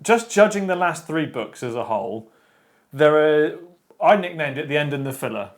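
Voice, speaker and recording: A man's voice: fairly high pitch, lively intonation, moderate pace. A man hosting a casual book review chat, explaining his opinions as he goes. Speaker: show host.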